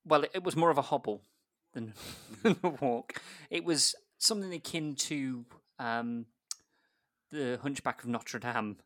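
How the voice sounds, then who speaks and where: A man's voice: mid-range voice, measured pace, fairly bright tone, no echo. A man telling an embarrassing personal story in casual chat, laughing at himself along the way. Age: adult. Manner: self-mocking, laughing often through the tale. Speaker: guest storyteller. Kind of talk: casual anecdote.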